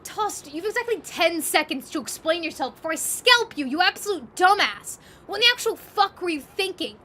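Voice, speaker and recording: A woman's voice: very high voice, big pitch swings, moderate pace, bright, forceful tone, slight background noise, voice pushed up, heavily acted. A woman voicing a cocky, sweary, hot-tempered punk boy in his late teens in an audio roleplay. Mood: furious. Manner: brash, sweary, indignant.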